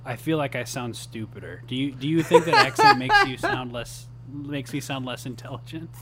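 A man from Minnesota with a Minnesotan accent, co-hosting a podcast in a rambling, hesitant way with deadpan jokes, sounding tired. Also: medium pitch, normal speed, a loud crowd. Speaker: podcast co-host. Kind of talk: casual chat.

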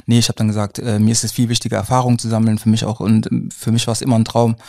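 A man's voice: on the low side, flat delivery, very fast, creaky, warm tone, dry recording, clean studio sound. A young man chatting casually and frankly about his own experiences in colloquial speech. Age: young adult. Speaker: co-founder and co-host. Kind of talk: casual conversational storytelling.

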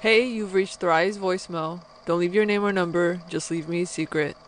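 A woman's voice: lowish voice, steady tone, normal speed. A woman speaking a short recorded voicemail greeting with instructions for callers. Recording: very noisy phone greeting with clicks.

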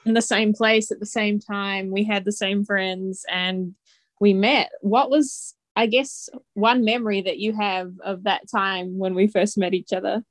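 Kiwi woman in her twenties, friendly podcast co-host, quick laugh, dry close mic. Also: medium pitch, fairly even intonation, dry recording. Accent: New Zealand. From New Zealand. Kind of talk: casual chat.

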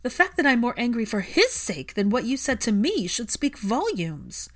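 Female narrator as young storybook heroine, raised pitch, emphatic, fiercely loving, hurt, defiant. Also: sing-song, moderate pace, fairly bright tone. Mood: shaken, fierce. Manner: emphatic, impassioned.

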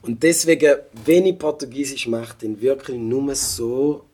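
A young man from Switzerland with a Swiss German accent, a rapper guest who talks in a relaxed way and drops English phrases into his German. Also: mid-range voice, unhurried. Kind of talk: casual interview chat.

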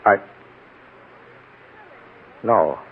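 An American actor playing an evasive, guilty husband who stammers hesitantly, in old radio crime drama style on an old recording. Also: male voice, drawn-out words, narrow, tinny audio. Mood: uneasy, evasive.

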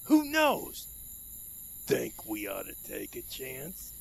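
Male actor as touchy outlaw sidekick, creaky voice, animated cowboy drawl, western audio drama. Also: medium pitch, slow pace, dry recording, heavily acted. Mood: uneasy. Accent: American cowboy drawl.

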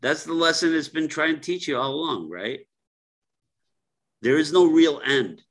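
A man speaking as a guest on a reflective podcast, slow and ruminative, with fillers and repeated phrases. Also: on the high side, a bit bright.

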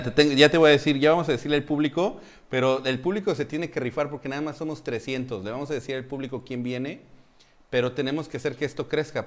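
A middle-aged man from Mexico City with a Mexican accent, hosting a casual live-stream chat and teasing his guest, with lots of fillers. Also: mid-range voice. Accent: Mexican Spanish. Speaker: host.

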